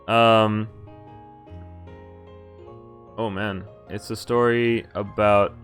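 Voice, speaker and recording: A man streaming a visual novel, reading it aloud and commenting casually in a halting way with offhand swearing. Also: lowish voice, flat delivery, fairly bright tone, faint music behind. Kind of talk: casual game walkthrough with read-aloud narration.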